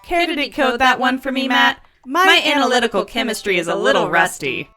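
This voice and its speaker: A woman's voice: fairly high pitch, expressive, a little fast, bright, forceful tone, faint music behind. A woman playing a brassy, motherly diner hostess in an audio drama, with a sassy, folksy way of talking. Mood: wry.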